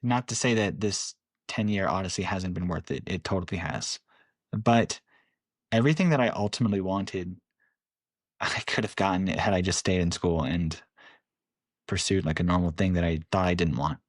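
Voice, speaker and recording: A man's voice: low voice, moderate pace, no room sound. A man in his late twenties, a podcast guest, talking candidly and reflectively with frequent laughs. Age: 20s. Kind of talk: casual interview.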